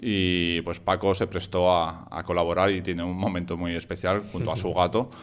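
A man hosts a local radio interview, his very low voice clean and smooth. He asks his questions in an easy, conversational way, with small hesitations as he goes. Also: level tone, normal speed. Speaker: radio host.

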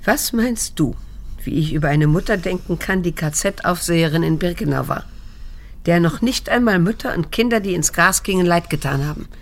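Creaky-voiced, restrained grown daughter. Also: female voice, on the low side, animated. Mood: guarded, earnest. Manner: measured, introspective. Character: restrained, wounded grown daughter, quietly reflective. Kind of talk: radio drama monologue and dialogue.

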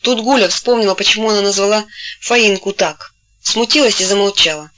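A woman narrating a novel in a steady storytelling voice, gently taking on the characters' voices when they speak. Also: mid-range voice, fairly quick, muffled recording. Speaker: audiobook narrator. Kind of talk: scripted literary narration.

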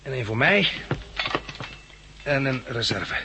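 A man's voice: mid-range voice, expressive, a little slow, lightly acted. A man acting a practical, decisive survivor of a catastrophe in a 1960s Dutch radio drama, explaining things in an instructive way. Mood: brisk, instructive. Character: practical, knowledgeable male survivor of a plant catastrophe. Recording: noisy 1960s radio broadcast recording.

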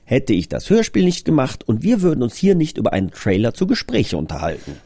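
A man's voice: medium pitch, huge pitch swings, brisk, dark tone, husky, no room sound, studio quality. A man presenting his own audio drama in a scripted but conversational trailer, explaining things earnestly.

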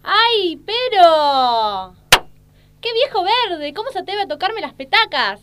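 A woman's voice: extremely high pitch, big pitch swings, slow, heavily acted. A woman playing an exasperated secretary in a satirical sketch, formal and scolding. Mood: indignant. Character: exasperated female secretary of a lecherous boss. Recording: noticeable background noise and hum.

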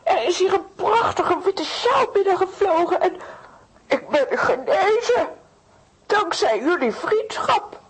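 Doting aunt, really high lively voice, slow delivery, audio drama. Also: female voice, heavily acted, rough recording. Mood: joyful, relieved. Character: doting, grateful aunt, warmly excitable. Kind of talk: children's radio play acting.

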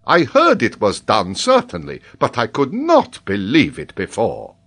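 A man voicing an excitable, talkative gentleman who exclaims emphatically in a storybook reading. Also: medium pitch, a little slow, pitched above the performer's own voice, exaggerated voice. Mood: indignant amazement. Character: excitable, talkative, astonished gentleman, loudly opinionated.

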